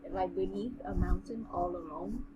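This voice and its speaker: A woman's voice: lowish voice, moderate pace, noisy background. A woman co-hosting a casual read-along podcast, talking in an earnest, rambling, hesitant way as she reads and comments. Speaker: podcast co-host reading a novel aloud. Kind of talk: casual chat and amateur read-aloud with commentary.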